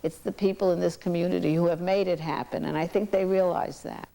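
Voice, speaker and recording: A woman's voice: fairly low pitch, fairly even intonation, a little fast, vocal fry, mellow. A woman speaking as a political candidate in interview remarks.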